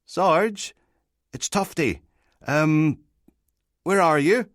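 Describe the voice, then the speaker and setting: A man's voice: on the high side, animated, very slow, a bit bright, dry recording, voice pushed up, exaggerated voice. An eager young police constable, as a male audiobook narrator puts him on. He speaks in a raised, chirpy voice with sheepish hesitation and plenty of deference. The sound is close and dry. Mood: hesitant.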